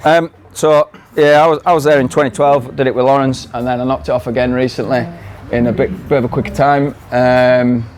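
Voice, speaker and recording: A man's voice: mid-range voice, fairly even intonation, a little fast, few pauses, darkish tone. Yorkshire man with a kiwi-tinged accent, live audience talk, playful teasing delivery. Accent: Yorkshire English mixed with New Zealand. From Yorkshire, England. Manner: jokey, teasing, laughing through his lines. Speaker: guest speaker.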